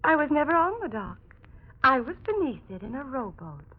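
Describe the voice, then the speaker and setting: Swooping, coldly menacing radio murderess. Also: female voice, high voice, sing-song, breathy edge, exaggerated voice. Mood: smug, icy. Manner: theatrical, coolly menacing, deliberate. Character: cold, vengeful murderess who faked being a ghost. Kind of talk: 1940s radio thriller acting. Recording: very muffled old radio recording.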